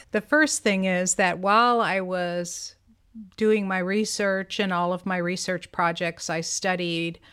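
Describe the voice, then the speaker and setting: A woman in her seventies, a podcast co-host, telling her life story in casual conversation, animated and laughing often. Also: lowish voice. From United States. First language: Greek. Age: 70s.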